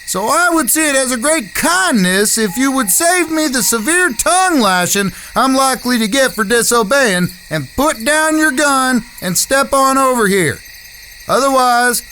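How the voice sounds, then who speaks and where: An actor playing a cocky, menacing young outlaw in a Western audio drama, with florid mock-polite talk. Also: male voice, extremely high pitch, bright, forceful tone, a little hiss or hum, heavily acted, poor audio.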